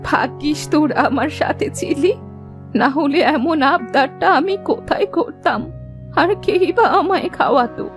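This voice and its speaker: A woman's voice: sits high, moderate pace. A woman playing an affectionate, grateful old village auntie in a cartoon fairy tale, effusive and folksy. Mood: contented, grateful. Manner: effusive, folksy, motherly way of addressing younger people. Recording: faint music behind.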